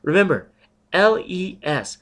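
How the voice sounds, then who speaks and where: Man putting on a nervous young woman, high pitched, prim, high-strung, storybook telepath. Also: very animated, takes it slowly, fairly bright tone, exaggerated voice. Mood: flustered.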